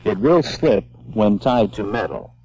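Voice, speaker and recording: A man giving measured, step-by-step scripted narration for an instructional film. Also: lowish voice, animated, mellow, dry recording. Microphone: voice-over. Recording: dull lo-fi old film soundtrack.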